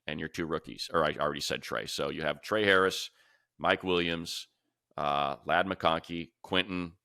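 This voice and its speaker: A man co-hosting a sports talk show, giving casual analysis and thinking out loud as he runs through lists of names. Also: deep voice, vocal fry, clean tone, no echo, studio quality.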